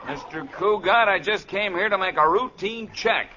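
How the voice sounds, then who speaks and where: Sharp, brisk police sergeant. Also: male voice, high voice. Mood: suspicious. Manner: official, insistent. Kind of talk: 1940s radio comedy acting. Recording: dull old radio recording.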